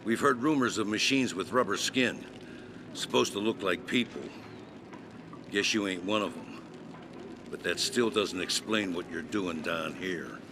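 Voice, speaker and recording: An actor voicing a gruff, suspicious, menacing leader of underground survivors in a video game. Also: male voice, deep voice, measured pace, background noise. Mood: suspicious, threatening. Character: wary, threatening male leader of tunnel survivors. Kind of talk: video game cutscene acting.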